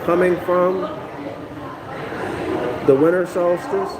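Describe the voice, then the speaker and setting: A man's voice: high-pitched, steady tone, slow, background noise. A man from North America reading a text aloud and lecturing on it for a live online audience, often stopping to check in with listeners and repeating phrases as he explains. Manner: keeps checking in with listeners, "okay?" and "y'all".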